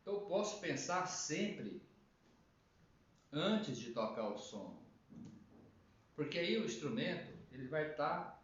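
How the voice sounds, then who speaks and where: A man teaching music theory, thinking aloud with pauses and singing note names. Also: on the high side, big pitch swings. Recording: dull, very echoey room.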